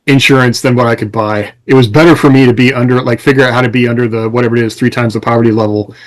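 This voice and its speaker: A man's voice: mid-range voice, fairly even intonation, racing. A man co-hosting a podcast, explaining things informally in a casual chat. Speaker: podcast co-host.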